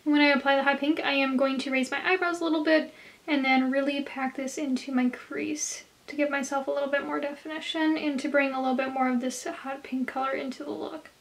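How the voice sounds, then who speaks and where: A woman with a hoarse, slightly nasal voice, chatting through a makeup tutorial for her viewers. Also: high voice, flat delivery, fairly quick. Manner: chatty, talks straight to viewers. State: hoarse from a cold. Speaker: beauty vlogger.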